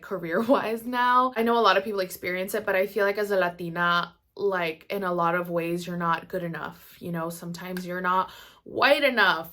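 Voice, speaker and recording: A woman's voice: lowish voice, a bit bright. A woman in her twenties whose first language is Spanish, telling personal stories casually to viewers in a candid, chatty way full of "like" and "you know". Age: 20s. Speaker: vlogger.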